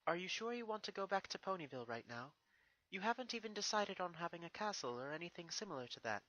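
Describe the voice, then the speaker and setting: A performer voicing a calm, formal, mature female pony ruler and mentor in a fan fiction reading. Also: medium pitch, fast talker, voice pushed up, rough recording. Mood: gentle.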